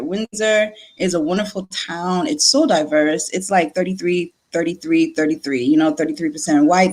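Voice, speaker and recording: A woman in her late thirties, born in Nigeria and raised in Connecticut, telling her story as a guest in a casual interview, lively and repeating words as she goes. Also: on the low side. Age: late 30s.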